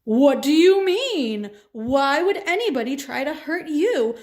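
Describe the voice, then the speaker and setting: A woman's voice: sits high, big pitch swings, a little slow, a little mellow, pitched above the performer's own voice. A woman voicing a doting, generous, easily led king in a children's puppet show, with an effusive manner. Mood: protective.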